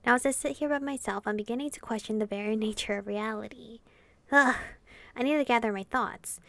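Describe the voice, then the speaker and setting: A woman's voice: fairly high pitch, fairly quick, gravelly, no echo. A woman gamer reading a visual novel aloud expressively, in the style of a casual playthrough.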